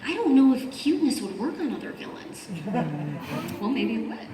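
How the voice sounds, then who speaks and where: A woman's voice: high-pitched, very animated, moderate pace, distant mic, background noise. A woman voice actor joking casually as a panelist at a fan convention. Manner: playful, joking. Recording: echoey hall, loud crowd behind.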